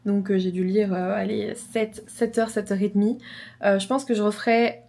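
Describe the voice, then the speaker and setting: A woman's voice: medium pitch, level tone, normal speed, creaky, mellow. A young woman with a slight cold, chatting casually and enthusiastically in her vlog, rambling and repeating herself. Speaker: book vlogger.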